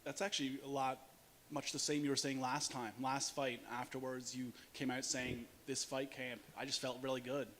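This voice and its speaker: A man's voice: medium pitch, flat delivery, normal speed, fairly bright tone. A man working as a reporter, asking an athlete questions at a press conference. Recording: faint hiss and noise.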